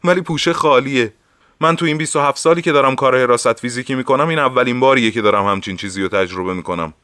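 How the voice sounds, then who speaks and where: An actor playing a middle-aged veteran security officer, hot-tempered but deferential, with a blustering colloquial manner. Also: male voice, mid-range voice, pushed and bright, smooth, no room sound, close to an everyday voice. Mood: baffled, defensive. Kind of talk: audio drama acting.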